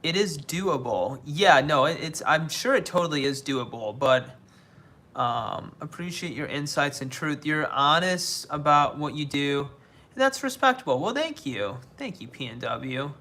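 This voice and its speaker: A young American man livestreaming from Asia, reading viewer comments aloud and answering them in a casual, halting way. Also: a little high, lively intonation, bright, forceful tone. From United States. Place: living somewhere in Asia.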